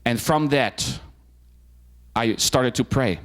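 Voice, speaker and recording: A man's voice: mid-range voice, slow pace. A young adult man from the Netherlands, telling his personal story as a guest speaker with many fillers and restarts. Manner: informal, frequent fillers and restarts. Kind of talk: personal talk to a club audience.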